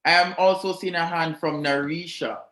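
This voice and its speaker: Male conference host, slightly high voice, friendly and welcoming, roomy video-call audio. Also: steady tone, moderate pace, fairly bright tone. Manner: friendly, welcoming, runs through logistics. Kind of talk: hosting an online youth conference. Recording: roomy echo.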